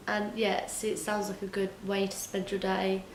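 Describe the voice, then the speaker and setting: An eighteen-year-old woman giving earnest, steady interview answers. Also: mid-range voice, normal speed, a little hiss or hum. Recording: echoey room with faint ambience.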